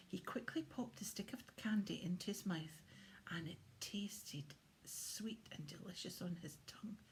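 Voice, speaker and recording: A woman narrating a children's story from a script, with expressive storytelling. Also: fairly low pitch, unhurried, in a whisper, poor audio. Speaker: audiobook reader. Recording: clear background noise.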